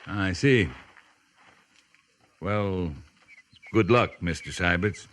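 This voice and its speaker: Stern, sharp-voiced cavalry captain. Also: male voice, low voice, extremely slow, heavily acted. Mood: wry. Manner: clipped, formal, wry. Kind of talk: 1950s radio drama acting. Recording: noisy 1950s radio recording.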